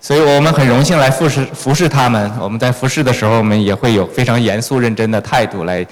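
The man standing beside a preacher in a big echoing church, relaying each phrase to the congregation in a mid-pitched voice. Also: fast talker. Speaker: church sermon interpreter. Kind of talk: consecutive interpreting of a sermon. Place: large reverberant church hall. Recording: echoey hall with long reverb.

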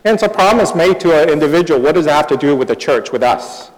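The man you would hear from the pulpit of a reverberant church on Sunday morning, middle-aged, pitched a little high, pausing after each sentence. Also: expressive. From born in Taiwan, grew up overseas. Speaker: guest preacher. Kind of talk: sermon given in short phrases for interpretation. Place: large reverberant church hall. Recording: echoey hall with long reverb.

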